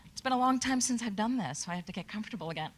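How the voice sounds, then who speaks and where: A woman's voice: fairly high pitch, animated, racing, slight background noise. A woman from Michigan making a nervous but earnest public comment at a workshop microphone. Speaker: audience member at a public workshop. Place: conference hall. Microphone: handheld microphone.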